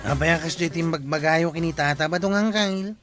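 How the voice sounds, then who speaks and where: An actor with an Ilocano accent playing a curious, cautious village sidekick in a radio comedy drama, talking in a questioning way. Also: male voice, a little high, normal speed, loud music behind the voice, lightly acted. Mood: wary.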